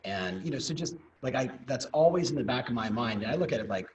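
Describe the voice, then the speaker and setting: A middle-aged man hosting a podcast, chatting in a relaxed way with playful asides. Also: mid-range voice, fast talker. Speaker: podcast host. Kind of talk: casual interview talk. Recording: distant mic, faint background noise.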